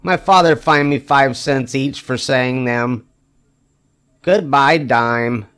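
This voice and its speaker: An older man reading a children's picture book aloud expressively, with emphatic phrasing and drawn-out wry punchlines. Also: medium pitch, a bit bright, clear, resonant tone. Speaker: storybook reader.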